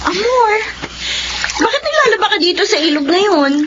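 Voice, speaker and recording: A woman's voice: extremely high pitch, big pitch swings, moderate pace, over music. A woman acting in a Filipino radio drama as a bold, inquisitive village friend, emphatic and theatrical. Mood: friendly. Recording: dull lo-fi radio drama, faint noise.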